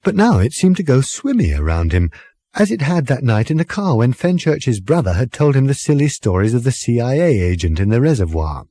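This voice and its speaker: Male narrator for comic fiction. Slightly husky, mellow, wry delivery, close dry sound. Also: medium pitch, big pitch swings, brisk, no echo. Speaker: audiobook narrator. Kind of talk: scripted comic novel narration.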